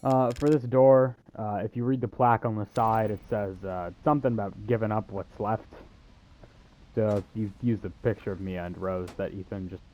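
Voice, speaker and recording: A man's voice: fairly low pitch, fairly even intonation, moderate pace, warm tone, poor audio. A young man giving casual, joking game commentary in a rambling, sarcastic way. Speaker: gamer.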